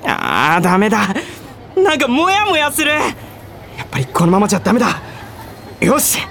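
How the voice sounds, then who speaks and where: An actor playing an earnest, polite young office junior in a Japanese radio drama, easily exasperated. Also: male voice, high-pitched, huge pitch swings, moderate pace, vocal fry, a bit bright, a faint crowd, a little hiss or hum, exaggerated voice. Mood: frustrated, hurt. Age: young adult.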